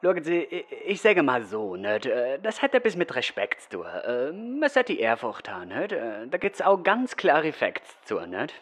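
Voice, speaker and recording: An actor playing an evasive, bumbling provincial governor in a satirical audio drama, hesitant and rambling. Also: male voice, a little high, wildly swooping pitch, a little slow, few pauses, breathy edge, full character voice. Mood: evasive, flustered. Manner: hesitant, rambling, repetitive verbal tic. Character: bumbling, evasive male provincial governor, a politician dodging questions.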